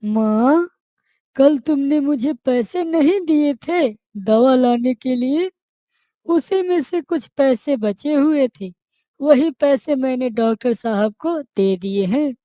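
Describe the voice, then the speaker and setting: A narrator voicing a dutiful, caring village boy in a cartoon story, speaking earnestly and gently. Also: high voice, lively intonation, slow, no echo, clean studio sound, voice pushed up.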